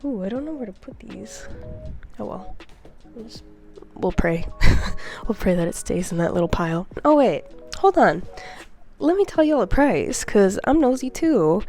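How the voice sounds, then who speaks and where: A woman's voice: medium pitch, sing-song, measured pace, pauses often, darkish tone, soft background music. A young woman with a congested, stuffy voice from a cold, chatting in a rambling, giggly way close to the mic. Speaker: book vlogger. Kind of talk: casual chatty book haul.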